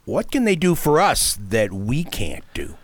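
A man's voice: a little high, big pitch swings, a little slow, no room sound, full character voice. Male actor as brash gangster, ambitious, needling, swinging intonation, dry close sound, radio drama. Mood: cocky, eager. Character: cocky, ambitious male racketeer.

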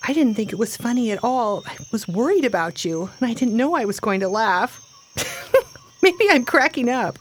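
A woman playing an anxious, bewildered pregnant young wife in a radio drama, expressive and flustered. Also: fairly high pitch, normal speed, close to an everyday voice. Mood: puzzled, uneasy. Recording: faint background noise.

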